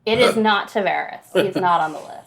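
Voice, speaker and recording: A woman's voice: mid-range voice, moderate pace, vocal fry. A woman hosting a hockey trivia quiz on a podcast in casual, conversational talk.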